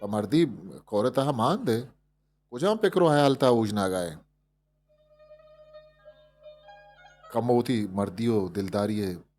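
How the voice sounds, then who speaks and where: A man's voice: mid-range voice, darkish tone. A man with a Balochi accent voicing a big, wise camel in a storybook fable, taunting and lecturing. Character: stern mocking male camel, big and wise. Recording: music behind the voice.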